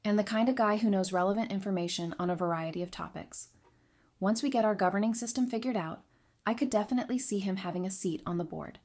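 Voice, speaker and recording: Woman acting as a straight-talking sardonic lady adviser, medium pitch, casual audio drama chat. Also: fast, darkish tone, lightly acted. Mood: thoughtful, frank. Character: candid, dry-witted woman advising a community leader.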